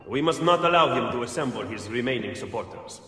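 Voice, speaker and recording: An actor playing a resolute Italian assassin in a video game, grave and controlled. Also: male voice, medium pitch, lively intonation, fast talker, sharp tone, a little hiss or hum. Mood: urgent. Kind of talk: dramatic video game acting. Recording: echoey hall, distant, faint music.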